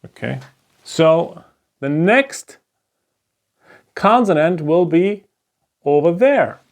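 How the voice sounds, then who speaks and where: A man's voice: on the high side, expressive, extremely slow. A man with a German accent, a native German speaker, patiently teaching step by step and checking in with "right?". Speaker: Hebrew teacher. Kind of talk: slow explanatory lesson at a board.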